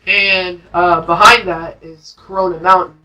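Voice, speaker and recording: A man's voice: high-pitched, fairly even intonation, measured pace, bright, forceful tone, poor audio. A man hosting a let's play, giving casual, rambling, informal commentary as he plays a video game. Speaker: gaming video host. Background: game music.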